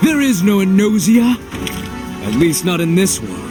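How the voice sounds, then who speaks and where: A man's voice: high-pitched, huge pitch swings, moderate pace. An actor playing a cruel male villain in a video game, theatrical, condescending and taunting, with music under him. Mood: cruel, mocking. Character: cruel mocking male villain, coldly dismantling illusions. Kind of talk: dramatic video game acting.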